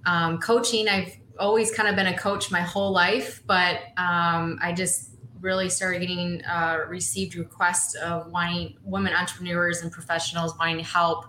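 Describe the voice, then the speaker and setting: A woman's voice: lowish voice, little pitch movement, moderate pace, bright, forceful tone, a little hiss or hum. A woman from Michigan, a coach and guest on a podcast, speaking in an earnest, motivational way. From Michigan, USA. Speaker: guest, social media and women's coach. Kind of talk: podcast interview. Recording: roomy echo.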